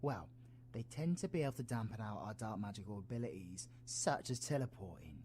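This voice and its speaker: A British man playing a roguish, protective, gruff yet tender supervillain in an audio roleplay, husky and colloquial. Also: fairly low pitch, expressive, brisk, darkish tone, a little hiss or hum, close to an everyday voice. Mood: irritated, sardonic. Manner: sweary, teasing, colloquial. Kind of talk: audio roleplay, villain ASMR.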